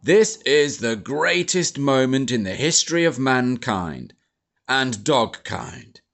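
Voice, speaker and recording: A man's voice: medium pitch, very animated, takes it slowly, vocal fry, fairly bright tone, pitched above the performer's own voice, exaggerated voice. A man voicing a pompous, blustering middle-aged army major who sneers and splutters, in an audiobook. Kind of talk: audiobook character voice.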